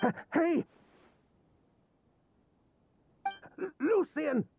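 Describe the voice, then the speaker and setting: An actor playing a distraught male merchant in a video game, tearful and breathless, with a strained, husky voice. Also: extremely high pitch, expressive, unhurried, phone-quality sound, full character voice. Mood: panicked. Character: distraught merchant man calling to his unresponsive brother.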